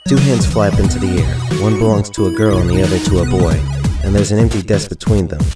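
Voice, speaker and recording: A man's voice: deep voice, fast talker, close to an everyday voice. A man playing a grumbling, introverted new student who narrates a visual novel dryly, sounding distant under music.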